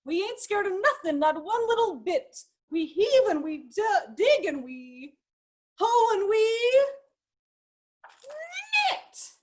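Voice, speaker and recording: A woman's voice: very animated, takes it slowly, long pauses, exaggerated voice. A woman performing a scruffy, happy pirate crew's boastful sing-song shanty from a storybook. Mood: jolly boasting. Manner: sing-song boasting with a high final whoop.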